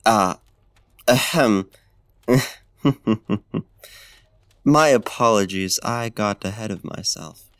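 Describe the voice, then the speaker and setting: A man voicing a courtly, smitten vampire in a soft-spoken ASMR roleplay, with formal, old-fashioned, genteel diction and a hushed, lingering delivery. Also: on the low side, no echo. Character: courtly, smitten male vampire tending a frostbitten guest. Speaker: ASMR voice actor.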